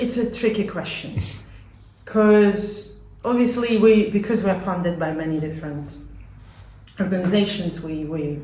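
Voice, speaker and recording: A woman with a Latvian accent giving measured, earnest explanations as an interviewee about her work. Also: on the low side, darkish tone, a little hiss or hum. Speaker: community support organisation worker being interviewed. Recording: echoey room.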